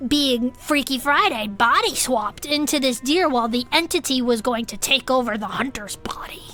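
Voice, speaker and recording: A woman playing an eager, chatty turtle-folk podcast host in a comedic audio drama, talking in an informal, halting way. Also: sits high, expressive, sharp tone. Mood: eager theorizing. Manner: informal, halting, checks in often. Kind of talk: conversational comedic audio fiction.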